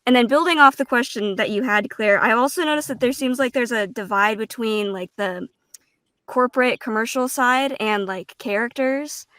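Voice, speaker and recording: A woman voice actor talking casually on a panel, halting as she searches for words, with some self-deprecating humor. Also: a little high, fairly bright tone, no room sound.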